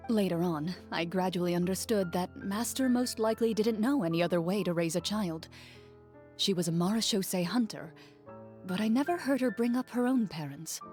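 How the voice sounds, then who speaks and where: Composed young swordswoman, played by an actress, mid-pitched. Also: a little mellow, close to an everyday voice. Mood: matter-of-fact. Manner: measured, matter-of-fact, polite. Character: composed young woman duelist raised by a strict master. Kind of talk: scripted game dialogue. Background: game music.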